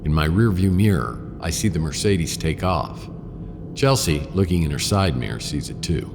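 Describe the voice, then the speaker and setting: Very deep wry private eye. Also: male voice, lively intonation, normal speed, long reverb tail, noisy background. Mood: wry, watchful. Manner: wry, laconic storytelling. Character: wry hard-boiled male private investigator narrating his case. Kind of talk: first-person noir radio drama narration.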